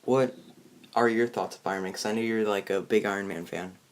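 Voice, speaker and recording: A man hosting a movie review in casual chat, halting and often restarting his sentences. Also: on the low side, fairly even intonation. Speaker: host of a movie review show.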